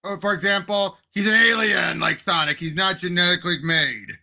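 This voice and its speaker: A man co-hosting a casual movie discussion, speaking in a halting way and often repeating himself. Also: high-pitched, creaky, bright, forceful tone. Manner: halting, repetitive phrasing. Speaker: podcast co-host.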